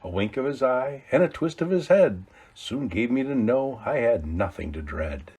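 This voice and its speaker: A man reading a classic Christmas poem aloud, with dramatic swings between hushed low lines and loud calls. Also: sing-song, normal speed, breathy edge. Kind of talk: expressive storybook read-aloud.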